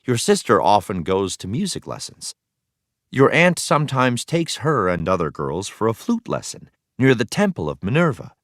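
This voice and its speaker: Low-pitched man reading aloud with lively intonation. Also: no room sound, studio quality. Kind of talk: read-aloud narration of a children's history text.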